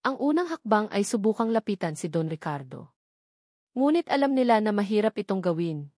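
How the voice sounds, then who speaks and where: A woman narrates a scripted drama in a storytelling style. Her voice sits at a middle pitch, and the recording is dry, with no room around it. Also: big pitch swings, brisk, darkish tone, no echo.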